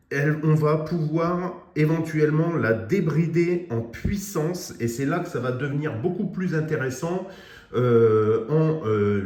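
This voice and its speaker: A man's voice: fairly high pitch, a little mellow, echoey room. A man giving a casual product review of a radio, with lots of fillers and restarts. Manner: hesitant, many fillers and restarts.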